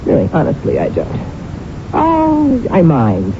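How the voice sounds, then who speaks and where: An actress playing a wry, playful, envious wife in an old-time radio drama, with a theatrical delivery. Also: female voice, fairly low pitch, huge pitch swings, measured pace, full character voice. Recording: thin, phone-like old radio recording with hiss.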